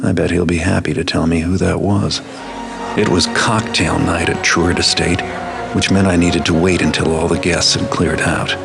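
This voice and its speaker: A world-weary master thief with an extremely low, gravelly voice. He narrates his game missions in measured, deadpan noir fashion, cool and wry, with clear music playing underneath. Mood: wry, determined, then cool, calculating.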